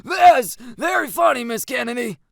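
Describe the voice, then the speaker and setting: A woman's voice: high-pitched, lively intonation, moderate pace, gravelly, dry recording, studio quality, pitched above the performer's own voice, heavily acted. A woman voicing a stern, exasperated school football coach, giving curt commands in a story. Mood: sarcastic, weary.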